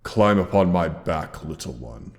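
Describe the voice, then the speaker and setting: A gentle old male dragon, acted by a man in an audio drama, very low and unhurried. He speaks with noble, formal tenderness and leaves dramatic pauses. The voice rings in long, cavernous reverb. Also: animated. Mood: resolute, protective. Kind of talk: roleplay audio drama, scripted monologue.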